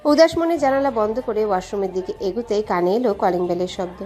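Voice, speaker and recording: A woman's voice: mid-range voice, expressive, fast, warm tone. A woman reading a scripted dramatic story as its narrator.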